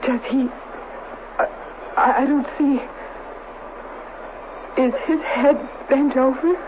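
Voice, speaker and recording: An actress playing a scientist's devoted, anxious wife in a radio drama, with a tender, theatrical delivery. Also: female voice, high voice, steady tone, takes it slowly. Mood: hushed, fearful. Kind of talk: 1970s radio drama acting. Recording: muffled, hissy old radio broadcast.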